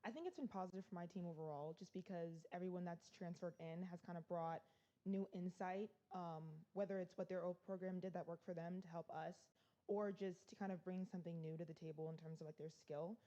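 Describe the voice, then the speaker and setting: A young woman speaking on a panel as a college athlete, in a slightly low and mellow voice. She answers in a measured way. The audio sounds a little dull. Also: fairly even intonation. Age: young adult. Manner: measured, with a few ums.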